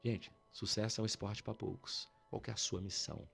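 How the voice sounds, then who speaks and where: A man's voice: on the low side, steady tone, fairly quick, vocal fry, fairly bright tone, soft background music. A man with a Brazilian accent telling stories in a casual podcast chat, slangy and informal, acting out dialogue as he goes. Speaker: podcast participant telling anecdotes. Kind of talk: casual animated storytelling chat.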